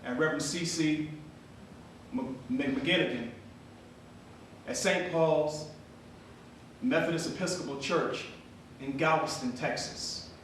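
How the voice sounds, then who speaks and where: A man's voice: takes it slowly, pauses often, a bit bright. An actor playing a solemn formerly enslaved man asking after his lost brothers, in a dramatic stage reading. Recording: very echoey hall, distant mic, faint rumble.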